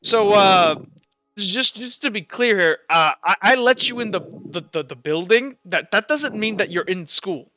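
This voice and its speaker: A man's voice: sits high, animated, normal speed, bright, forceful tone, muffled recording. A man voicing a grumpy school official who is curt and impatient, in a tabletop game.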